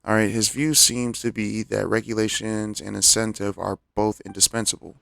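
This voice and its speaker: A man's voice: lowish voice, flat delivery, moderate pace, gravelly, pushed and bright. A man streaming a game, giving casual, laid-back commentary and reading on-screen text aloud with mumbled asides. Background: faint game music.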